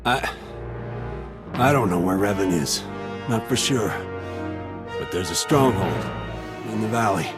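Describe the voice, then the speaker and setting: An actor playing a zealous military captain and conspirator in a sci-fi video game cutscene. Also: male voice, on the low side, animated, unhurried, pauses often, over loud music. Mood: shaken, pleading. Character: male military captain, zealous conspirator, rattled under threat.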